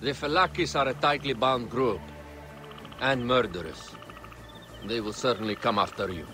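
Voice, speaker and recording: An actor playing a composed, courtly royal adviser in an ancient Egyptian video game drama, speaking formally and deliberately. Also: male voice, medium pitch, over music, close to an everyday voice. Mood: grave, formal.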